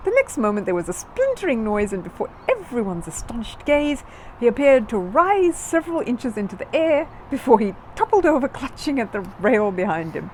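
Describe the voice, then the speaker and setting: A woman reading a children's book aloud as scripted narration, in an expressive storyteller's manner. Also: a little high, moderate pace, slight background noise, poor audio.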